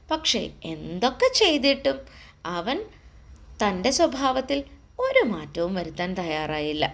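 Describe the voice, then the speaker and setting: Female children's storyteller, mid-pitched and highly animated, with dramatic pauses and rhetorical questions. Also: creaky. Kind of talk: expressive storytelling narration for children.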